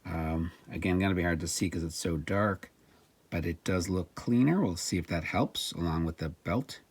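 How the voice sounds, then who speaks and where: Male demonstrator, low and a little rough-edged, casual and plain-spoken, talking through hands-on work. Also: moderate pace, no echo. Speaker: repair demonstrator and narrator.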